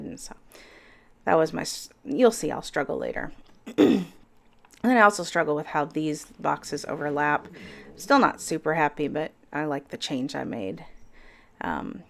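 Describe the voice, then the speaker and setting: A middle-aged woman with a froggy voice, chatting casually through a voiceover and rambling with self-deprecating chuckles. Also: low voice. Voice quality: froggy, gravelly voice. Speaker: planner vlogger. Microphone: voiceover mic.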